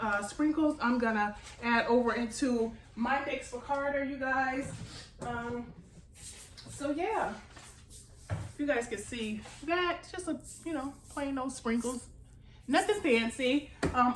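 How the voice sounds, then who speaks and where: Stuffy-sounding American woman, higher voice, echoey room. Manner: chatty, informal, addresses viewers as "you guys". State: stuffy, congested nose. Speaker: home baker and cooking channel host. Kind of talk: casual cooking tutorial chat. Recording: echoey room with faint background noise.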